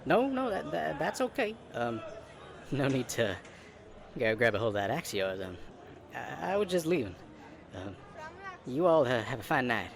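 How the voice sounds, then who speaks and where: A man's voice: medium pitch, sing-song, moderate pace, vocal fry, pauses often, crowd noise. A man playing a smarmy, cowardly flirt with a Southern US accent in a Western romance audio drama. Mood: nervous, backpedaling.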